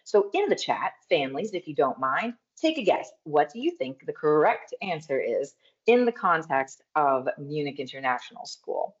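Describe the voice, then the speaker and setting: A woman moderating an online school open house, guiding the audience step by step in a lively way over video call. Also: sits low, normal speed. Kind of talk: upbeat event hosting. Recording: dull lo-fi video-call audio.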